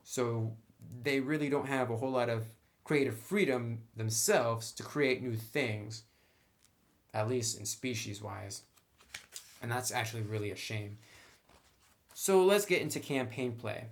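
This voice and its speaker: A man delivers an explanatory review in a conversational way. He leans on key words, stretching them out for emphasis, with a middle-pitched voice. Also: a little slow, pauses often. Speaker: tabletop game reviewer.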